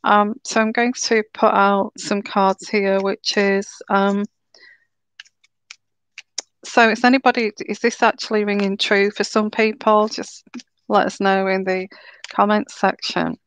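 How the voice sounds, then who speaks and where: A woman giving a live tarot reading in a slow, soothing, reassuring way, her throat a little sore and croaky. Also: mid-range voice, flat delivery, fairly bright tone, no room sound, low-quality recording. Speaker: tarot reader.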